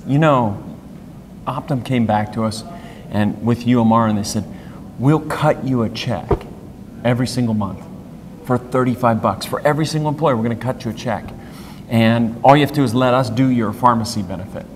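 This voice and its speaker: A man in his fifties with a medium-pitched voice answers as an interview guest. He is plain-spoken and explains things through stories and analogies. The recording has a long hall echo and faint noise behind him. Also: normal speed. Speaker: interview guest, industry executive. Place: hotel conference corridor, indoors. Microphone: clip-on wireless lapel mic.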